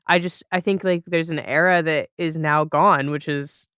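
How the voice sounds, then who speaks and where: A woman's voice: sits low, fairly even intonation, normal speed, a bit bright, smooth, no room sound, studio quality. A woman hosting a podcast in a casual, conversational way, chatty and thinking aloud as she goes. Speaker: podcast host.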